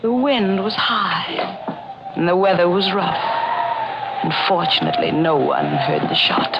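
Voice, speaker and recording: An actress playing a reckless, fearful yet defiant woman murderer in an old-time radio drama, speaking and narrating with melodramatic pauses. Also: low-pitched, very noisy. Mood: cold. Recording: dull old radio recording, loud music.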